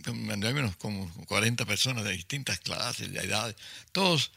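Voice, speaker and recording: A man's voice: on the low side, normal speed, creaky, pushed and bright, slightly rough, no echo, clean studio sound. An older man from Chile, a writer telling vivid stories in an interview, acting out quotes and often restarting his sentences. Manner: vivid storyteller, acts out quotes, frequent restarts. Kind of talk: storytelling in an interview.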